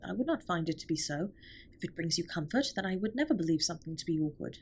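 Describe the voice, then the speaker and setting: Husky, formal alien captain, voiced by a woman. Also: lowish voice, animated. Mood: gentle, attentive. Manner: formal, measured, precise wording with few contractions. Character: formal, caring alien spaceship captain, earnest and protective. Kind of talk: scripted roleplay audio drama, intimate comfort monologue.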